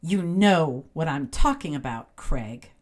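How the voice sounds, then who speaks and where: A woman's voice: sits low, animated, slow pace, darkish tone. An actress playing a possessive, sly witch wife in a radio drama, with a commanding, teasing, coolly menacing manner. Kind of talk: old-time radio drama acting.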